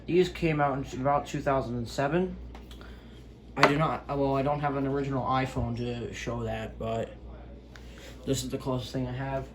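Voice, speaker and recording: A man's voice: mid-range voice, fairly even intonation, moderate pace, crowd noise. A man giving a casual, unscripted product review in a hesitant, rambling way, on an amateur home recording. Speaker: gadget reviewer.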